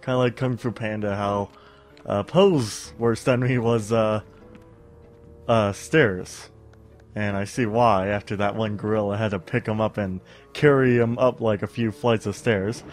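A man's voice: on the low side, fairly even intonation, unhurried. A young man with a somewhat stuffy voice hosts a casual let's play, rambling and getting distracted, with lots of fillers and bits of humming. He is on a studio mic at home, with faint game music behind him. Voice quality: stuffy-sounding. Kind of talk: casual gameplay commentary.

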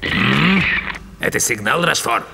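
A man voicing a scheming, commanding dog cardinal in a cartoon, haughty, measured and gloating. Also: medium pitch, expressive, creaky, long reverb tail, noisy background, full character voice. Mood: cold, commanding. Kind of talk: dubbed cartoon acting.

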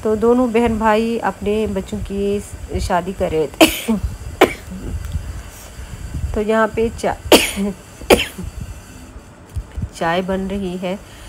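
A middle-aged woman, chatting through a vlog voice-over with a sore, hoarse throat, coughing now and then and pausing often. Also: mid-range voice, fairly even intonation, very noisy. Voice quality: coughs between phrases. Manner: chatty, explanatory, with many pauses and fillers. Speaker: vlogger.

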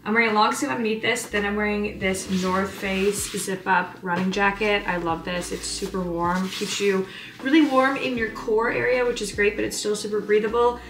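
A woman's voice: mid-range voice, fairly even intonation, moderate pace, background noise. A young woman in her twenties chatting upbeat to camera in a casual vlog, with lots of filler words. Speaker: fitness vlogger. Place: home kitchen and living room. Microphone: camera on counter, speaking from a few feet away. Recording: roomy apartment sound with music underneath.

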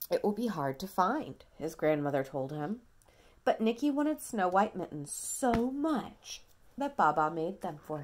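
A woman in her thirties reading a picture book aloud to a toddler at home, dramatically, pausing to ask simple questions. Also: medium pitch. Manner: dramatic, childlike questioning and prompting. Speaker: parent reading a bedtime story to a toddler. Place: small quiet room at home.

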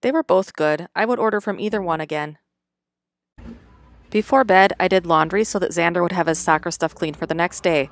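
A middle-aged woman narrates her family weekend in a steady, matter-of-fact voice-over. Her voice is slightly low and plainly delivered. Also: a little fast, dry recording. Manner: even, matter-of-fact storytelling.

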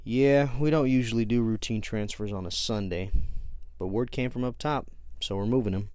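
Male actor, gruff Southern US cop for audio play. Lowish, blunt, folksy, dry sound. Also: normal speed, darkish tone, no echo, natural voice. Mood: gruff, matter-of-fact. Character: gruff, folksy Texan police detective.

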